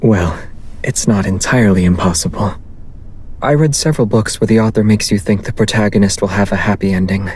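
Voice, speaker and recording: An actor playing a calm, soft-spoken young man in a game, speaking in an unhurried, understated, intimate way. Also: on the low side, slight background noise, close to an everyday voice. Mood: calm, thoughtful. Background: music.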